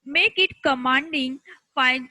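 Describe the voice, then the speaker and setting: Female lecturer, Indian accent, bright forceful tone, dry close sound, deliberate delivery. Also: high-pitched, no echo. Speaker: teacher. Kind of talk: instructional lecture.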